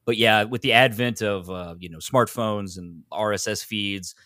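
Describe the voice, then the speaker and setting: Low-voiced man, casual podcast guest. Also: moderate pace, sharp tone, no echo, studio quality. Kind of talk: casual interview chat.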